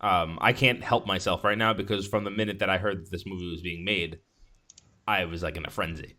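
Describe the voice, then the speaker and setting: Guy with a lowish voice, podcast co-host, just chatting and reacting. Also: steady tone, fairly quick, bright, forceful tone. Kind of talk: casual chat.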